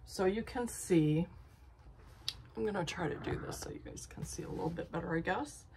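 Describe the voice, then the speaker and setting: A woman in her sixties with a low voice talks through her quilting projects in a rambling, chatty way, full of ums and restarts. She is filmed on a phone held at arm's length in her home craft studio. Faint room noise sits behind her voice. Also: moderate pace. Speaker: quilting vlogger. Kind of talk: casual show-and-tell chat. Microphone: phone camera at arm's length.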